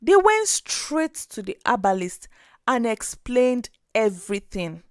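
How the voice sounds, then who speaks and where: A woman narrating a scripted story in an expressive, dramatic way. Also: on the high side, slow pace, no echo, clean studio sound.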